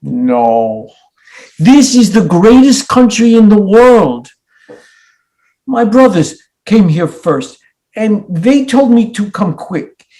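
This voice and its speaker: Guy acting naive proud immigrant man in a stage play, simple formal wording, real slow, voice goes up n down. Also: sits high, dark tone, exaggerated voice, rough recording. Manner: formal, simple, non-native phrasing. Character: naive, hopeful immigrant drug courier, proud and stubborn. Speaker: stage actor. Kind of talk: dramatic play reading.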